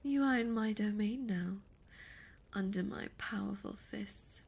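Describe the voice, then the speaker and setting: A woman voicing a seductive, imperious demon succubus in an ASMR roleplay, breathy and often whispering, with formal, teasing, menacing diction. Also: mid-range voice, unhurried, darkish tone. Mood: seductive, domineering.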